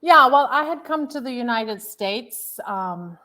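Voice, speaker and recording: A woman's voice: fairly high pitch, animated, measured pace, bright, forceful tone. A woman from Turkey in her thirties, a guest coach telling her life story in a loose, chatty way with many fillers. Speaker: guest, author and transformational coach. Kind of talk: casual interview chat.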